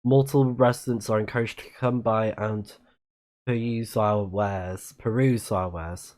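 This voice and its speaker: A man's voice: lowish voice, fairly even intonation, a little slow, a little mellow. A man giving casual let's play commentary, reading on-screen text aloud and murmuring reactions as he plays. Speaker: streamer playing a video game.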